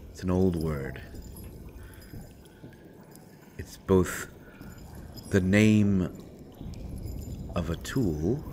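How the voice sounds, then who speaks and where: An actor playing a menacing, sardonic male assassin held captive in a dramatic audio roleplay, brooding and deliberate, with dry mockery and dramatic pauses. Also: sits low, warm tone, slight background noise. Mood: cryptic, menacing.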